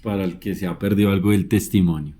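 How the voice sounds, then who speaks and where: A man's voice: sits low, steady tone, fast, warm tone. A man hosting a podcast interview, reacting with short exclamations and greeting viewers. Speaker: podcast host. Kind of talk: casual interview.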